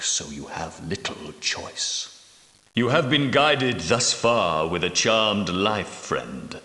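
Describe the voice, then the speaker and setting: A solemn leader of holy knights for a video game. He speaks low and slowly, in grave, archaic, portentous phrases like a preacher. The voice rings with long reverb, as in a great echoing hall. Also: sharp tone. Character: solemn, pious leader of ancient Grail knights. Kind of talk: scripted video game dialogue, archaic formal speech.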